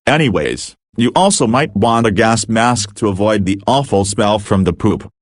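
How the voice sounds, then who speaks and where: A man's voice: fairly low pitch, animated, moderate pace, no echo, studio quality. A man narrating a scripted mock emergency broadcast as an announcer, matter-of-fact with casual asides.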